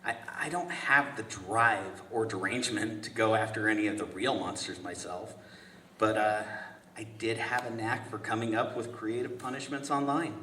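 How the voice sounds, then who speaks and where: A man's voice: on the low side, steady tone, normal speed, pushed and bright. A man playing an insufferably pleasant, patient, earnest family man in a script table read, chatty and hesitant. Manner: hesitant, chatty, apologetic, stammering. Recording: echoey room from far off, noisy.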